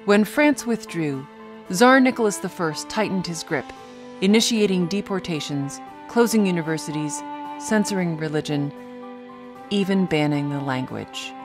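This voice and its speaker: A woman with a lowish voice reads a documentary script in a measured, earnest way. Music plays clearly beneath her. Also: animated. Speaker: documentary narrator.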